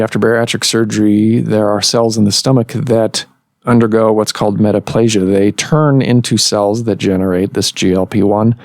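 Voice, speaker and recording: A man hosting a medical podcast as a doctor, explaining in a measured way with some fillers, recorded in a clinic office. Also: on the low side, no room sound, clean studio sound.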